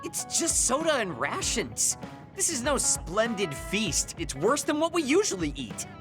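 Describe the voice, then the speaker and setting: Prickly young male soldier, anime game dub, curt and proud, animated pitch, music behind. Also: a little slow, creaky, heavily acted. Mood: irritated. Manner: curt, irritable.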